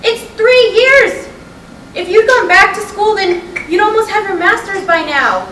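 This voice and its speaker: A woman's voice: extremely high pitch, animated, a little slow, a bit bright, heavily acted. A woman playing a frustrated, restless middle-aged wife in a staged play reading, insistent and emotional as she urges her husband on. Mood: exasperated. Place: roomy hall, staged reading at a college. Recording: distant echoey stage recording with noise.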